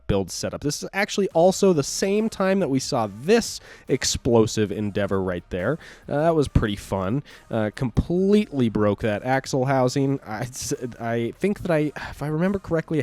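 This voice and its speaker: A man with a scratchy, nasal voice left over from a cold narrates footage in easygoing fashion. He talks at a middling pitch, drifts between thoughts, and fills gaps with uhs and restarts. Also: darkish tone. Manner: rambling, lots of uh fillers and self-corrections. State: hoarse and nasal from a recent cold. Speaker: channel host and RC crawling commentator. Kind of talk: casual video commentary over competition footage.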